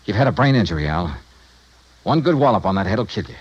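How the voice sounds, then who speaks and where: An actor playing a tough, straight-talking insurance investigator in a 1950s radio detective drama, clipped and insistent. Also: male voice, lowish voice, lively intonation, fast, breathy edge, dull audio. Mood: blunt, firm. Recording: vintage radio drama recording.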